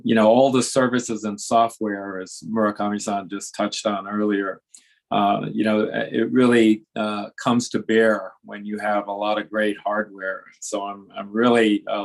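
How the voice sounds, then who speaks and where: A man's voice: fairly low pitch, creaky. An older man from Japan giving fluent, conversational introductory remarks as a contest judge and executive. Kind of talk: semi-formal introductory remarks.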